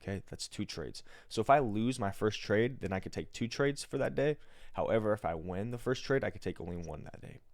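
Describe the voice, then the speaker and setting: An American man with a raspy morning voice, explaining things step by step in an informal, slangy livestream tutorial style. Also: lowish voice, lively intonation, fairly quick, dry recording, studio quality. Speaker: day trader and livestream host. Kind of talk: casual live trading tutorial.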